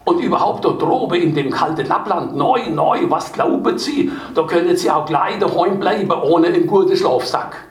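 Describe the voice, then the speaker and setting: A man's voice: high voice, lively intonation, normal speed, few pauses. A man voicing an insistent female outdoor shop saleswoman with an Allgäu Swabian accent, lecturing her customer. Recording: distant mic in an echoey room.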